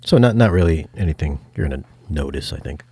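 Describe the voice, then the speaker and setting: A man hosting a casual review chat, playful and teasing, with a husky voice. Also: rumbling low, animated, quick pace, dark tone. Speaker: video review host.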